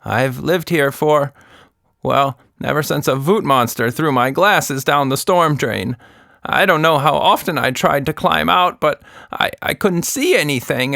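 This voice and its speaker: A man's voice: a little high, vocal fry, sharp tone, dry recording, clean studio sound, pitched above the performer's own voice, heavily acted. A man voicing a talkative, short-sighted female monkey who rambles and interrupts in a children's story. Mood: emotional, worried.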